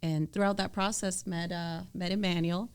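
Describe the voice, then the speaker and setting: A middle-aged woman with a low-pitched voice speaks as an interview guest. She was born in Ecuador and raised in New York City, and her delivery is conversational. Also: steady tone, moderate pace, clear, resonant tone. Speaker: podcast guest, mortgage lender.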